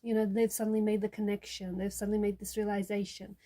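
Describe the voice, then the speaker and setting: A woman reads tarot for the person listening, in a relaxed, mellow voice with a dark edge. She talks intuitively and directly, circling back over each idea and rephrasing it for emphasis. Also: medium pitch, fast. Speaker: tarot reader. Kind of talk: intuitive tarot reading addressed to viewer.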